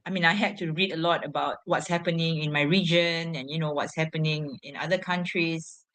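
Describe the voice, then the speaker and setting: A young adult woman from Singapore answering interview questions, pausing often with fillers. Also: low voice, steady tone, fairly bright tone. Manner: halting, frequent fillers and pauses. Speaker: guest, education social entrepreneur.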